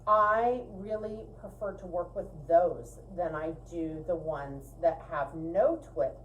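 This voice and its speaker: A woman's voice: lowish voice, slow, lo-fi sound, slight background noise. A woman co-hosting a livestream, giving a casual craft tutorial in a chatty, emphatic way. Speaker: co-host of a fiber arts livestream. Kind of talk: casual spinning tutorial chat.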